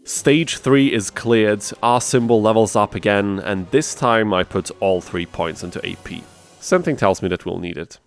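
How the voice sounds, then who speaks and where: A man's voice: lowish voice, normal speed, gravelly. A man hosting a gaming video, narrating a challenge run from a script with conversational, self-deprecating asides. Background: music under the voice.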